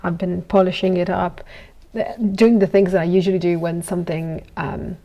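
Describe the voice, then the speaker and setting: Chatty goldsmith woman with gravelly undertone. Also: fairly low pitch, flat delivery, normal speed, dark tone, highs cut off. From Italy. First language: Italian. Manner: chatty, self-deprecating, with frequent ums and small laughs. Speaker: goldsmith streaming from her workshop. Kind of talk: casual live-stream chat while working.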